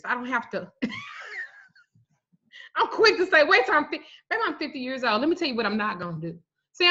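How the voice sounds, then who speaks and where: A woman in her forties, a spiritual reader, telling stories on a livestream in a blunt, profane, animated way. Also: fairly high pitch. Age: 40s. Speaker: spiritual reader and root worker. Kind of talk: casual livestream talk. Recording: muffled lo-fi stream audio.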